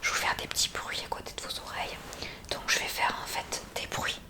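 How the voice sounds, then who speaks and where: A woman whispers breathily as an ASMR examiner, gently and attentively explaining a hearing test step by step to the listener. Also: fairly quick. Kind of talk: whispered ASMR medical roleplay, addressing the listener.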